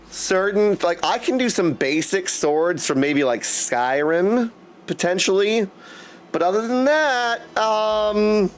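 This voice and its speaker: Guy streamer chatting to viewers, highish voice that swings all over, effusive, says dudes a lot, bit of music behind. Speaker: live streamer and host. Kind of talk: casual livestream chat with viewers.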